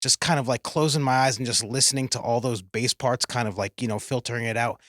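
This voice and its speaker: A man in his forties with a Midwestern American accent, a band's bassist and singer, chatting casually with many fillers and restarts. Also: fairly even intonation, quick pace, fairly bright tone, no room sound, clean studio sound. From Kansas City area. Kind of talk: casual chat. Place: radio studio.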